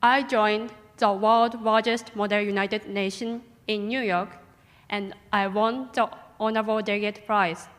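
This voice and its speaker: Slow-speaking teenage girl in a hall. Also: mid-range voice, steady tone, a bit bright. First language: Japanese. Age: teens. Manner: careful non-native English, halting. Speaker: student panelist. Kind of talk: prepared self-introduction. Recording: echoey hall.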